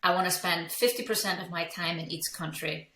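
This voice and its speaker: A woman's voice: lowish voice, steady tone, fairly quick, pushed and bright, echoey room. A woman from Iceland, a business coach and entrepreneur, telling her story candidly in an interview, with frequent fillers and restarts.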